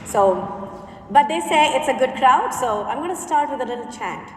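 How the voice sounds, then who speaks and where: A woman's voice: sits high, lively intonation, moderate pace, slight background noise. A woman with an Indian accent, a math teacher, giving an earnest personal talk on stage with repeated refrains. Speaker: middle school math teacher and speaker. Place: large conference hall stage. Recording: echoey hall from far off.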